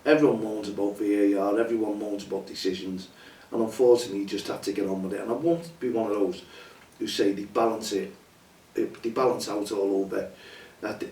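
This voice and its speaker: Hoarse man hosting a chat show. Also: on the low side, fairly even intonation, brisk, a little mellow. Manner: rambling, chatty, many fillers. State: hoarse, losing his voice. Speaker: show host. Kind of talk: casual chat show monologue. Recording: roomy echo, distant from mic, faint ambience.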